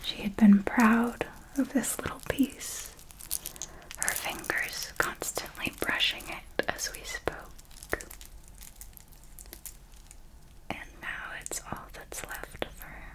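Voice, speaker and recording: A woman playing a refined, predatory vampire mentor in an ASMR roleplay, whispering in a slow, measured, intimate way. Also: a little high, poor audio. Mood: wistful, hushed. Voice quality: breathy whisper. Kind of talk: ASMR roleplay, scripted monologue.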